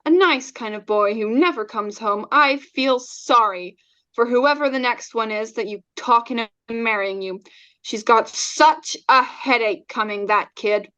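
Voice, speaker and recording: A woman's voice: fairly high pitch, unhurried. A woman playing a bitter, sarcastic wife who is leaving her husband, in an old-time radio drama with cutting delivery. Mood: scornful, needling. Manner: cutting, scornful, deadpan sarcasm.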